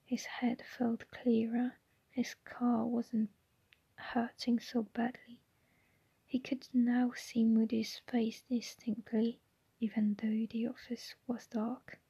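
A woman narrating a storybook in a soft, unvoiced ASMR whisper. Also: fairly high pitch, creaky, slightly rough, no echo, rough recording. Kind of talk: ASMR audiobook reading.

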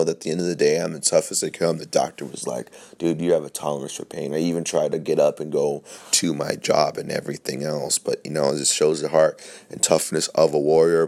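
A man's voice: deep voice, darkish tone. A young man hosting his own podcast, talking casually and rambling with a scratchy voice from a sore throat, loose and profane, full of fillers and restarts. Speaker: podcast host. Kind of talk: casual solo podcast chat.

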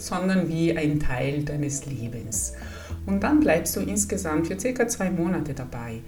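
Low-voiced Italian-accented woman podcaster. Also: distant mic. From Italy. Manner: gentle, encouraging, addresses listener directly. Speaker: psychologist and podcast host. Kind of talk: calm explanatory podcast talk.

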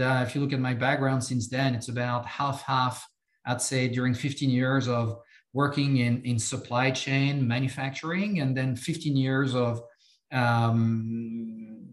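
A man in his fifties from France with a French accent, speaking as a company chief executive guest in a podcast interview, halting with many repeated words and fillers. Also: medium pitch, steady tone, echoey room.

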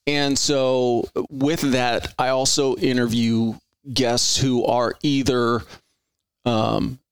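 A middle-aged man hosting a podcast in an earnest, rambling way, circling back over his own words. He has an average-pitched voice. The sound is close and dry, with no room echo. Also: level tone, takes it slowly, smooth. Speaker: podcast host. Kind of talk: conversational interview.

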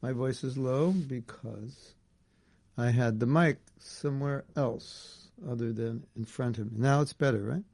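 Brief: unhurried man leading a home scripture class, chatty and loose, mid-pitched voice. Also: vocal fry, a little mellow, dry recording. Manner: casual and conversational, with restarts. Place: indoor room at home.

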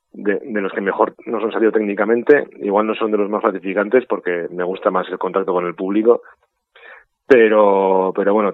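A man's voice: deep voice, dry recording. A man with a Spanish accent, a musician chatting as a guest in a casual interview, self-mocking and laughing easily, heard over a phone line. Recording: tinny phone line, dry.

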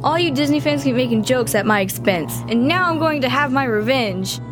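High, vengeful teddy bear. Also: female voice, animated, normal speed, music in the background. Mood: gleeful vengeance. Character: vengeful talking teddy bear toy, small and gleeful. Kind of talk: audio drama acting.

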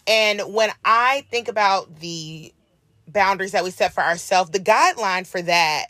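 A hoarse woman co-hosting a casual podcast, talking earnestly and encouragingly with drawn-out emphasis. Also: medium pitch, fairly bright tone, dry recording, clean studio sound. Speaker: podcast co-host.

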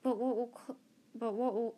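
A woman's voice: a little high, fairly even intonation, moderate pace, warm tone, pitched above the performer's own voice, close to an everyday voice. A woman reading a children's novel aloud, voicing a blunt, teasing teenage boy with an offhand delivery.

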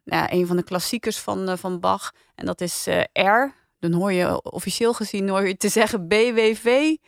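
A woman's voice: mid-range voice, moderate pace, creaky, no room sound, studio quality. A woman with a Dutch accent hosting a podcast in casual review chat. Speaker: podcast host.

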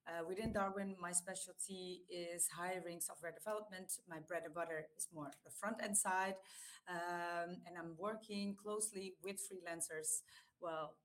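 A woman, a recruitment consultant co-hosting a webinar, speaking in a halting way with frequent fillers. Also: on the low side, fairly even intonation, slight background noise, poor audio. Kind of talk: casual webinar talk.

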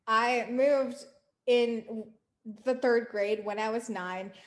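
A young woman from the United States co-hosts a casual podcast. Her voice sits a little high, and she speaks earnestly, stressing each point as she stacks them up. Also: steady tone, slow. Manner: earnest, emphatic, lists points with rising force. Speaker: podcast co-host.

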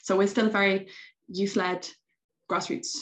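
A woman's voice: medium pitch, level tone, measured pace. A young British woman with a British accent, answering podcast interview questions in an enthusiastic, chatty way with frequent ums. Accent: British English. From Britain. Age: young adult. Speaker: secretary general of a youth organization.

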